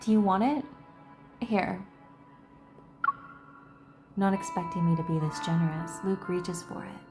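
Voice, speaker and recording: Woman game streamer, lowish voice, irreverent read-aloud and commentary over prominent music. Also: measured pace. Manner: irreverent, salty, expressive. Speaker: gaming video host. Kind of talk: reading game dialogue aloud with casual commentary.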